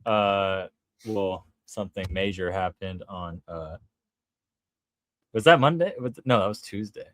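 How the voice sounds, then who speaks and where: A young man co-hosting a casual sports podcast, excitable and stumbling over his words. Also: sits low, a little slow, no room sound. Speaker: podcast co-host.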